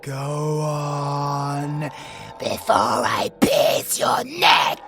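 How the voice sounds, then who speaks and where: A man's voice: on the high side, level tone, very slow, fairly bright tone, soft background music, full character voice. A British man voicing a sadistic, predatory supervillain monster in an audio roleplay, mocking his prey with theatrical menace. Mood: savage taunting. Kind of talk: villain audio roleplay acting.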